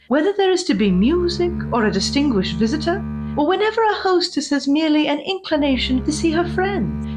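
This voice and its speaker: A woman playing a vain, obsessive porcelain doll in a gothic horror audio drama, with formal, refined diction and deliberate dramatic pauses. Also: high voice. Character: prim, vain, obsessive old porcelain doll, genteel lady.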